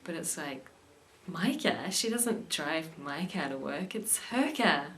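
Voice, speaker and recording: A young woman hosting an English lesson show and reading the news in a clear, steady way. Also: low-pitched, slow pace, slight background noise. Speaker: English teacher and show host.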